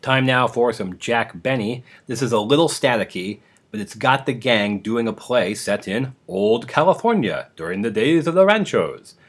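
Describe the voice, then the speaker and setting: A man's voice: medium pitch, expressive, moderate pace, hardly any pauses. A man hosting a podcast, introducing old radio programs in an informal, prepared style. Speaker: podcast host.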